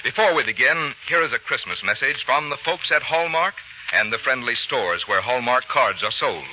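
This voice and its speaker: A man's voice: medium pitch, expressive, normal speed, sharp tone. Polished male announcer, muffled old radio. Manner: polished, formal. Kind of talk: 1940s radio announcing, scripted sponsor message. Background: music.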